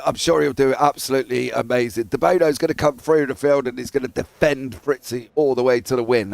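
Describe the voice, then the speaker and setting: A hoarse English man commentating a sim race with a raspy, husky voice, getting loud and excitable as the action starts. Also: a little high, dry recording. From England. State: hoarse from broadcasting.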